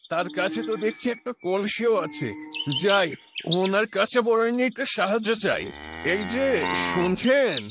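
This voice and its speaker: A man's voice: high voice, noisy background. A man voicing a fussy middle-aged father travelling on foot in a cartoon, muttering to himself. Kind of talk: dramatic cartoon dubbing.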